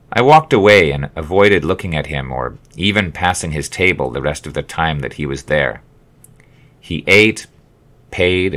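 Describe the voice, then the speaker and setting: A man narrating his own memoir as an audiobook, with expressive, wry storytelling. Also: rumbling low. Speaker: author reading own memoir.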